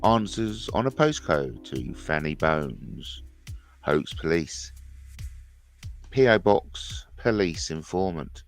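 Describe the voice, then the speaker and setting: A man's voice: low voice, sing-song, takes it slowly, faint music behind. A man in his fifties hosting a rambling, jokey livestream chat, repeating himself and mentioning his speech impediment. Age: 50s. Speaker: livestream host.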